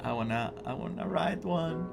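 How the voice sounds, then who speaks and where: Excitable male gamer from Greece. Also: a little high, normal speed, creaky. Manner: excitable, thinking aloud. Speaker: gaming streamer. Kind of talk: casual reaction commentary over a game. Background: faint game music.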